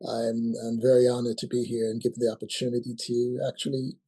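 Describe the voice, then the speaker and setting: A man of about sixty, from Nigeria, answering as a guest in a relaxed interview. His voice is husky and mellow. He hesitates a lot, stopping and restarting his phrases. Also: medium pitch, fast talker, rough recording. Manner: hesitant, many ums and restarts. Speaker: guest, company founder. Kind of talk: casual interview talk.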